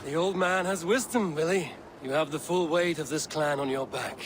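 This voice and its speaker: Man playing a resolute, higher-voiced Viking warrior. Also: moderate pace, natural voice. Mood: wry. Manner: earnest, blunt, teasing among friends. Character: resolute adult Viking warrior, loyal and frank friend. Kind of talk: video game cutscene acting. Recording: noisy game ambience behind dialogue.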